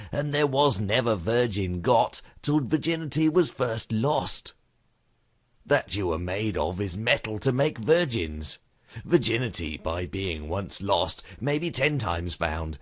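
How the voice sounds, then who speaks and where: Deep husky bawdy Shakespearean rogue played by a man. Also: sing-song, measured pace, dry recording, clean studio sound. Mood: wry mocking. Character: witty bawdy male rogue, mocking, arguing cynically. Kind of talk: theatrical Shakespearean comic monologue.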